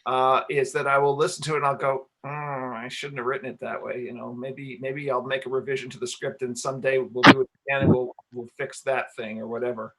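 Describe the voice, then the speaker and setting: A man who writes radio plays, talking casually and haltingly in a group discussion, often repeating words. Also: mid-range voice, level tone, pushed and bright, low-quality recording.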